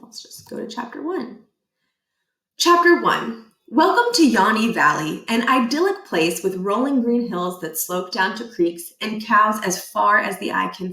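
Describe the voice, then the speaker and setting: A woman reads a children's story aloud in a very bright, medium-pitched voice. She gives key words deliberate emphasis with a playful deadpan touch. The room around her is clearly echoey. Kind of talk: expressive children's book read-aloud.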